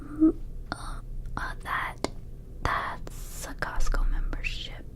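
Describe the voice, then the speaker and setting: A woman voicing a friendly, scatterbrained young vampire shop clerk in a soft breathy whisper, rambling casually and hesitantly in an ASMR roleplay. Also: slow pace, natural voice. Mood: airy, self-assured. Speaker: ASMR performer.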